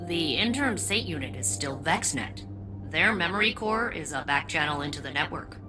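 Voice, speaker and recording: A woman's voice: sits low, expressive, moderate pace, over music. A woman voicing a gloomy, sardonic female ship AI in a video game, speaking in casual understatement. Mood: frank. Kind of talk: video game character acting.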